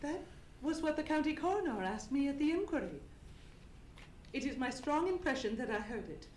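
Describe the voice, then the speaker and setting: Radio-drama heroine played by a woman: haunted young lady, high voice, formal dramatic delivery, echoey stage sound. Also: very animated, normal speed, a little mellow. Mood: sombre, earnest. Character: frightened, grieving young gentlewoman recounting her terror. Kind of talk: live old-time radio drama acting. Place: theatre stage. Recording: echoey theatre stage, noisy live recording.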